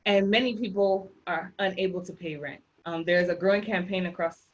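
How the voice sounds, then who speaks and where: A woman's voice: fairly low pitch, normal speed, muffled recording. A woman with a Haitian accent presenting a housing rights workshop over slides, emphatic and repeating her key points. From Haitian background.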